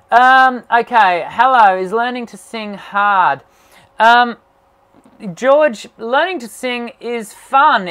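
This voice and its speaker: A man's voice: sits high, pushed and bright. Guy vocal coach doing a live q and a, upbeat and encouraging, lots of ums. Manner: encouraging, talks with many "um" fillers. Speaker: singing teacher and channel host. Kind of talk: live-stream question and answer.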